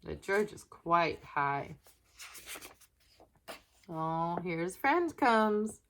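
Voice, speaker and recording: A woman narrating a children's picture book in an expressive way, with question-asking asides to young listeners. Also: on the low side, very slow, a little hiss or hum. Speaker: children's story reader.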